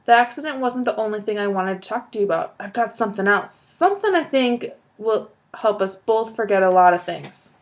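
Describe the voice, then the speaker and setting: A woman voicing an excitable, kindly old grandfather who bursts into loud, eager shouts. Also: medium pitch, a little fast, hardly any pauses, lightly acted. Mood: solemn.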